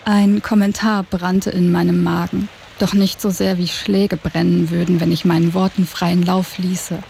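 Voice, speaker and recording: Mellow woman narrating an audio drama. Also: fairly low pitch, flat delivery, measured pace, gravelly, a little hiss or hum. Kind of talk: scripted first-person narration of an audio drama. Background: music.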